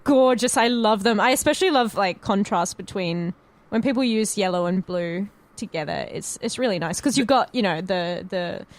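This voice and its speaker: A woman's voice: medium pitch, normal speed. A woman co-hosting a podcast, discussing film and TV in an informal, sweary way. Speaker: podcast co-host.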